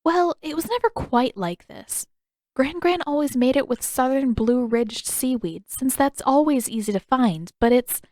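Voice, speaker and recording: Woman voicing an earnest, higher-pitched teenage girl. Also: a little slow, a little mellow, no echo. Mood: explaining. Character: young teenage girl, earnest, curious, a little unsettled. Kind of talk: audiobook character dialogue.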